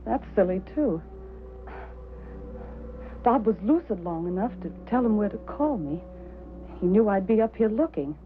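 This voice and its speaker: An actress playing an anxious wife in a 1950s drama, halting and dazed, on a tinny soundtrack. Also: female voice, mid-range voice, lively intonation, a little hiss or hum, natural voice. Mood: shaken. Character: tense, anxious wife relaying news. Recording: tinny vintage soundtrack, faint music.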